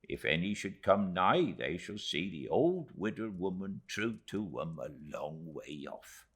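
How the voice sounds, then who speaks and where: A man plays a humble old widow of the seashore in a classic storybook. She speaks in a rustic, earnest way, plaintive and devoted, with a swooping pitch. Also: very animated, a little slow, slightly rough, full character voice. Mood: devoted, steadfast. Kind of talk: classic novel audiobook character.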